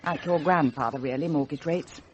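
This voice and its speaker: A woman's voice: low-pitched, moderate pace, slight background noise, close to an everyday voice, low-quality recording. An actress playing a restless middle-aged upper-class wife and mother in a radio drama, arch, precise and scornful. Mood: breezy, arch. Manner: arch, precise, witty wording.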